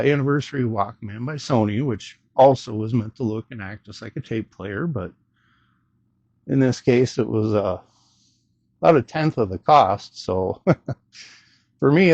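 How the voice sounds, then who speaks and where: A man's voice: medium pitch, measured pace, creaky, a little mellow, no room sound. A man casually talking through an unscripted gadget review as host, in a rambling, hesitant, self-deprecating way, on a slightly dull recording.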